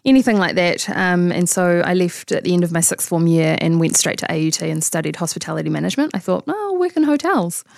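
A woman's voice: on the low side, expressive, fast, creaky, few pauses, dry recording, clean studio sound. A woman in her thirties from New Zealand with a New Zealand accent, a coach talking casually and reflectively in an interview, with many fillers. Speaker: guest coach.

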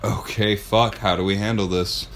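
Man, deep voice, podcast host, casual chat, rambling, enthusiastic, swears freely. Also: steady tone, moderate pace, gravelly, fairly bright tone.

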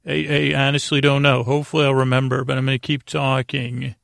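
A man hosting a bedtime podcast in a rambling, meandering monologue, often circling back and losing his thread, with a creaky voice. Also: mid-range voice, little pitch movement, a little fast, a bit bright, no room sound, clean studio sound. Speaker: podcast host.

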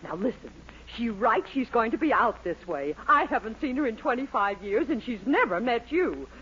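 An actress playing a bossy, scheming wife with a domineering, emphatic delivery in a 1940s radio comedy. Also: female voice, on the high side, big pitch swings, normal speed, a bit bright. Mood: scheming, excited. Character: bossy, scheming, money-minded wife with henpecked husband. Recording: noisy, muffled 1940s radio recording.

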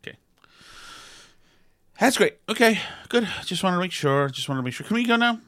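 A man with a high, bright and forceful voice banters on a podcast. He rambles and argues in a sarcastic tone, piling on mock praise. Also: expressive, fairly quick. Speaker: podcast co-host. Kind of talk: casual banter.

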